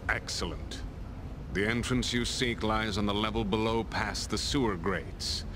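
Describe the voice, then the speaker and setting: An actor playing a sinister, commanding villain's lieutenant in a fantasy game, speaking in a measured, imperious way. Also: male voice, fairly low pitch, noisy background, close to an everyday voice. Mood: cold, commanding. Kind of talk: fantasy video game cutscene acting.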